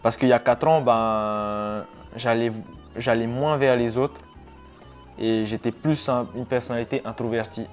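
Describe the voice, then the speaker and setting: A man in his twenties talks to his viewers in a laid-back, meandering way, often pausing and hesitating as he thinks aloud. His voice sits in an ordinary middle pitch. Quiet guitar music plays faintly underneath. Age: 20s. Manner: relaxed, rambling, many hesitations. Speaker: YouTuber. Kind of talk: casual vlog talk to camera.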